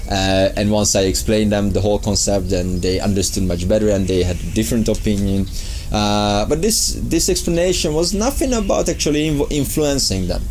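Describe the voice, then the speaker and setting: A man's voice: medium pitch, a little mellow. A man from Hungary tells a story casually, speaking emphatically and repeating his phrases as he goes. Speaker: vlogger and English teacher. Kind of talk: casual spoken vlog storytelling. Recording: clear background ambient noise.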